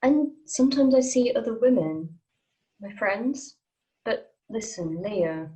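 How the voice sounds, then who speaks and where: A woman in a script table read playing a guarded, secretive leader of a hidden group, speaking in a hushed, measured, serious way. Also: on the high side, expressive, poor audio. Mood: reflective.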